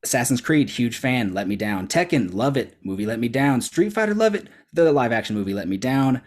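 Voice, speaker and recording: A man with a middling pitch makes his case in a casual debate. He is emphatic and persuasive, breaking his argument into short, punchy phrases. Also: big pitch swings, fairly quick. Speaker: debate contestant.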